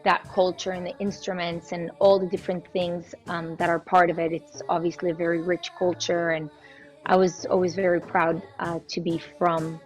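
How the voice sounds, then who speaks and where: A young woman from Israel, a singer, answering interview questions in a warm, proud, flowing way. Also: fairly low pitch, little pitch movement, normal speed, faint music behind. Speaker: guest singer. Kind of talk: casual interview chat.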